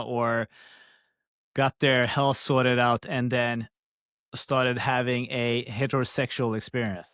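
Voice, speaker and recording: Male podcast host, direct and curious, mid pitch, dry close mic. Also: a bit bright, smooth, dry recording. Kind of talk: interview questions.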